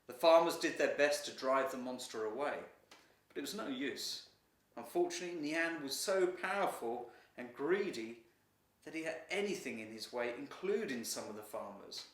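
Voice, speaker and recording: A man reading a children's story aloud as a storyteller, with dramatic, expressive delivery. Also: medium pitch. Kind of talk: scripted storytelling narration. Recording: roomy echo, distant from mic.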